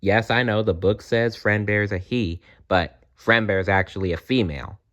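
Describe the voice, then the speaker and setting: A man narrating a children's storybook aloud in an expressive read-aloud style. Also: lowish voice, moderate pace. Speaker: storybook reader.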